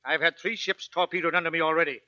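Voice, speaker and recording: A gruff older merchant captain, weary of war and dryly defiant. He speaks quickly in a very sharp, fairly high voice. It sounds like a dull vintage radio broadcast. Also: fairly even intonation. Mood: weary, resolved. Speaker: actor in a radio drama. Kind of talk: 1940s radio acting.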